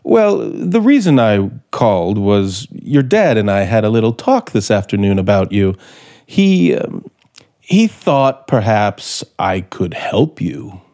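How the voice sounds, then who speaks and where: An American actor in a 1950s radio drama playing a bitter, sardonic male scriptwriter plotting murder, with a theatrical, deliberate delivery. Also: on the low side, big pitch swings, dark tone. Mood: falsely genial. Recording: vintage 1950s radio recording with music.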